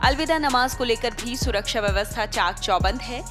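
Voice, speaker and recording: A woman news anchor reading a scripted report in a formal, measured bulletin delivery. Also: fairly high pitch. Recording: music playing behind the voice.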